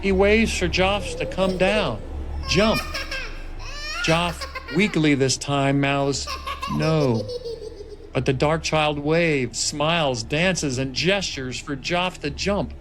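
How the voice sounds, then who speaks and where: Higher-pitched man narrating a film script. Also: slow, hardly any pauses, noisy background. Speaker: narrator reading stage directions. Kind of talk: dramatic scripted narration at a table read. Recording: music laid clearly behind the voice. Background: music and sound effects.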